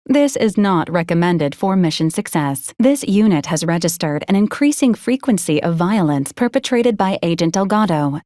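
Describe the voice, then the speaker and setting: Woman voicing a deadpan robot ship assistant, very dry sound. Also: lowish voice, moderate pace, few pauses, no room sound, lightly acted. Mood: clinical, deadpan. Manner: formal, clinical, deadpan. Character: polite, literal-minded female ship robot assistant, drily menacing. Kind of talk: scripted video game character lines, formal status reports.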